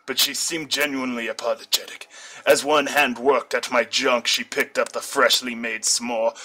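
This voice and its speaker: A man's voice: mid-range voice, pushed and bright, poor audio. A man reading fan fiction aloud as an amateur, now and then stumbling over words and correcting himself. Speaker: amateur story reader.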